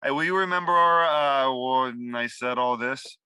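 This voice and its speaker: A man's voice: medium pitch, measured pace, bright, forceful tone, smooth, no echo. A man playing a drunk, drug-addled boss in a comedy table read, rambling, crude and erratic, swinging between moods. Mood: tipsy, cajoling. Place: remote, over a video call. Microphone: video call.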